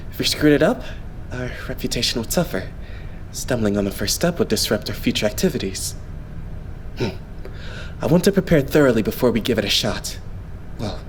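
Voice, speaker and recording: A man's voice: mid-range voice, a little hiss or hum, close to an everyday voice, rough recording. A man playing a devout, optimistic young male idol in an anime game fan dub, explaining things politely. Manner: polite, explanatory, measured phrasing.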